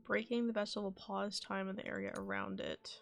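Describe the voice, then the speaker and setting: A woman's voice: mid-range voice, big pitch swings, a little fast, creaky. A woman livestreamer giving casual commentary as she plays a game.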